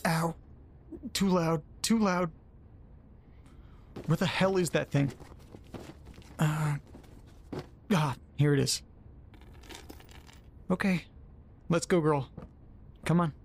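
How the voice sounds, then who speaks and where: An actor playing a hungover man in an audio drama, muttering wearily and haltingly to himself and his dog with groans and sighs. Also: a little high, slight background noise. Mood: pained, groggy. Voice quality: groaning, sighing. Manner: weary, self-pitying, halting muttering. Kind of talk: first-person audio drama monologue.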